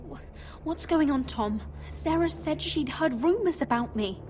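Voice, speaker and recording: An anxious young noblewoman with a high, lively voice that moves slowly and haltingly. Game music plays beneath her lines. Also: a little hiss or hum. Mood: alarmed. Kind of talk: video game voice acting. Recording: game audio with music underneath.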